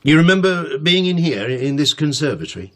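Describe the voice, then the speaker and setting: An actor in a radio drama playing an older father, flustered but firm, halting and stammering as he insists. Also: on the high side, sing-song, a bit bright. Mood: probing. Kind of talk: naturalistic radio drama acting.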